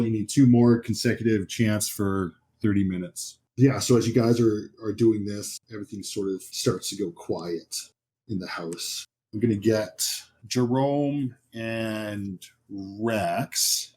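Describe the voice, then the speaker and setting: A man's voice: on the low side, steady tone, measured pace, mellow, a little hiss or hum. A man working as game master, narrating a horror tabletop roleplaying game in a conversational, descriptive way. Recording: music behind the voice. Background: music.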